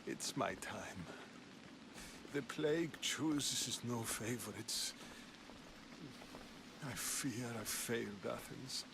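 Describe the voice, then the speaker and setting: An actor playing an ailing older statesman in a game cutscene, halting, grave and resigned, weary and regretful but still proud. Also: mid-range voice, lively intonation, vocal fry, noisy background, heavily acted. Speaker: video game character actor. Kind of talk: dramatic game cutscene acting. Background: background music.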